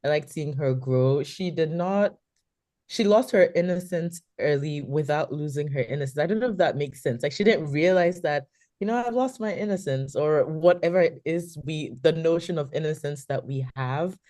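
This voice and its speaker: Woman, book club panelist, exceptionally low pitch, soft dark tone, informal delivery. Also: moderate pace, dry recording, studio quality. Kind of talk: casual book discussion.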